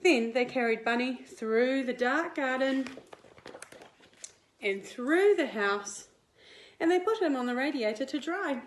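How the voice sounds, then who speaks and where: A woman, a teacher reading a picture book aloud to young children in an expressive, deliberate way. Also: high-pitched, slight background noise. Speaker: primary school teacher. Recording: roomy indoor echo.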